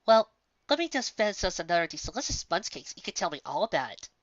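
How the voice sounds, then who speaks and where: A woman's voice: on the low side, big pitch swings, quick pace, dry recording, dull audio. A woman voicing a modest, friendly young pony princess in a storybook reading, polite and easygoing. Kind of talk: storybook character voice.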